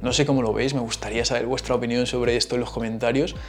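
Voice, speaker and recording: A man's voice: mid-range voice, fairly even intonation, fast, soft background music. A man with a Madrid Spanish accent, hosting a casual solo podcast, chatty and explaining things with asides. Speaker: podcast host.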